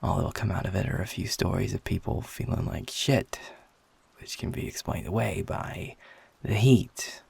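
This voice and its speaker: A feisty, cocky male werewolf played by a man in an intimate audio roleplay. His voice is low and very husky, thick with gravel. He talks bluntly and informally, with a teasing, flirtatious edge. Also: normal speed, darkish tone, dry recording, full character voice. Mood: stunned, curious.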